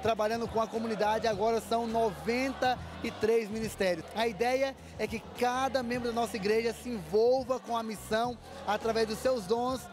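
Emphatic man with a very high voice. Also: steady tone, normal speed, noisy background. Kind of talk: interview statement. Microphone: handheld reporter mic.

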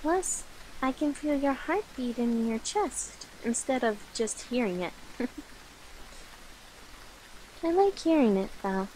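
A woman acts out a sleepy young cat-girl, a witch's affectionate familiar, in an ASMR roleplay. Her voice is very high and unhurried, with a soft, playful, coaxing manner. There is light noise behind her. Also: animated, rough recording. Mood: affectionate, tender.

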